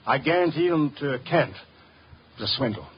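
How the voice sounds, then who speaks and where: A shifty small-time swindler acted by a man in an old radio mystery. His voice is middling in pitch and his speech blunt, curt and slangy. The recording is dull with light noise. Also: lively intonation, normal speed, natural voice. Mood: grudging.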